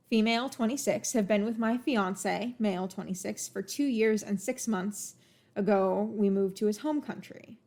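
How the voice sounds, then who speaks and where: A woman guesting on a casual podcast, talking emphatically and laughing easily. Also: fairly high pitch.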